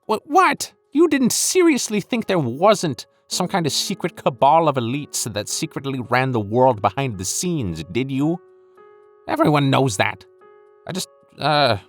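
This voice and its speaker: A man's voice: medium pitch, dry recording. Man doing a flustered, swooping secret-cabal schemer. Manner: stammering, dismissive, conspiratorial. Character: flippant, flustered secret-cabal schemer, smug and easily bored. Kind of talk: comic audio roleplay monologue.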